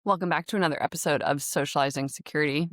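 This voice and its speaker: A woman's voice: deep voice, rapid-fire. A woman whose first language is Dutch, raised in the United States, hosting a podcast in a chatty, rambling solo talk full of fillers and light laughs. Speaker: podcast host and startup founder. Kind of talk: casual solo podcast monologue. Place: podcast studio in an office space.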